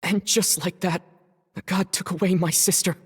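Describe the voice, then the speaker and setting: Breathy, solemn young male traveller. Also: high voice, fairly even intonation, moderate pace. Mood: solemn, resolute. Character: earnest young male traveller searching for lost sister. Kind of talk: dramatic game cutscene narration. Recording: long reverb, faint music behind.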